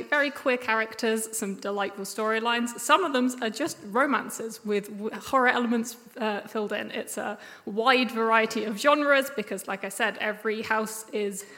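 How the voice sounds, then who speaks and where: A woman giving a chatty, improvised pitch to an audience in an indoor hall. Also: sharp tone. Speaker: podcast dialogue editor and sound designer. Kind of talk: informal live pitch to an audience. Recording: echoey hall with long reverb.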